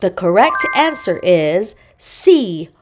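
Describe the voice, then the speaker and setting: A woman hosting a children's read-aloud quiz, speaking in deliberate, separated phrases. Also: lowish voice, big pitch swings, over music.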